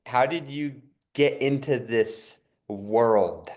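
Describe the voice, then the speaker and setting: A man hosting a podcast interview, upbeat and quick to chime in with short affirmations. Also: mid-range voice, darkish tone. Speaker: podcast host.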